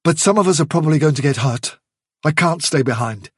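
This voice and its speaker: A man's voice: on the high side, lively intonation, brisk, slightly rough, dry recording, clean studio sound. A man voicing a loyal male rabbit leader in an audiobook, resolute and earnest. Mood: stubborn resolve.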